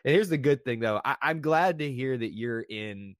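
A man's voice: mid-range voice, animated, moderate pace, no room sound, clean studio sound. A man hosting a casual sports podcast, talkative and easygoing, with fillers and repeated words. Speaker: podcast host.

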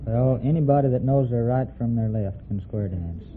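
A man's voice: medium pitch, moderate pace. A man in his twenties from Texas, a quiz contestant giving polite, brief interview answers. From Texas, USA. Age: 20s. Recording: old radio broadcast, tinny, faint noise.

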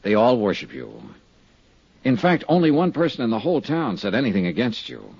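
An actor playing a cool, probing insurance investigator in a 1950s radio drama, asking measured, courteous, insinuating questions. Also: male voice, mid-range voice, big pitch swings, darkish tone, breathy edge, dry recording. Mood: calm, courteous. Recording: dull, dry 1950s radio recording.

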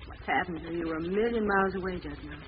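A woman's voice: fairly low pitch, racing, lightly acted. An actress playing an anxious, horrified woman in an old-time radio crime drama, earnest and dramatic. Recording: muffled vintage radio recording, background noise.

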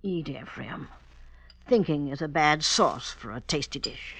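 No-nonsense janitor's wife, husky voice, lively pitch, folksy, blunt, radio play. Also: female voice, measured pace, dry recording, full character voice. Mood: dismissive. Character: blunt, hearty janitor's wife enjoying her food. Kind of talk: old-time radio drama acting.

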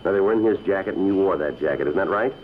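Guy playing a clipped no-nonsense cop, fires off questions quick, old radio drama sound. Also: low-pitched, lightly acted. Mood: stern. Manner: terse, flat, matter-of-fact questioning. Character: stern clipped adult male police sergeant. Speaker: police detective sergeant. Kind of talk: 1950s radio crime drama acting. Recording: tinny old radio broadcast.

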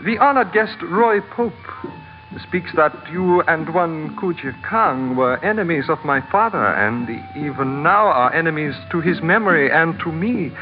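A man playing a suave young sultan in old radio drama, with formal, ornate phrasing and quiet threat. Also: fairly high pitch, lively intonation, measured pace, soft background music. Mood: silky menace. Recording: dull old radio recording.

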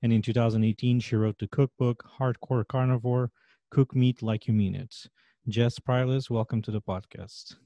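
A man's voice: medium pitch, steady tone, moderate pace, dark tone, dry recording, lo-fi sound. A man from Portugal with a Portuguese accent, hosting an interview podcast in a playful way with joking asides. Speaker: podcast host.

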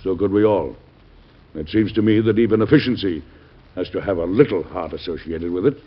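Genial, low-voiced American president. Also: male voice, brisk, breathy edge. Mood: gently firm. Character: genial, big-hearted, stout American president, folksy and firm. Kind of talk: old-time radio drama acting. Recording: dull, band-limited old radio recording.

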